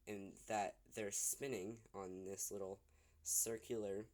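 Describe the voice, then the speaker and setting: A man giving an informal explanation as a presenter, speaking haltingly in short broken phrases with pauses. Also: lowish voice, little pitch movement, darkish tone. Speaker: video presenter explaining technology. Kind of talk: unscripted explainer, demonstrating to camera.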